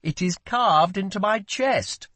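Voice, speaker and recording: A man's voice: fairly high pitch, sing-song, moderate pace, no room sound, voice pushed up. A man voicing a wounded young prince in an audiobook, petulant and dramatic, playful yet weighed down by guilt. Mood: indignant. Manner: scornful, dramatic, demanding.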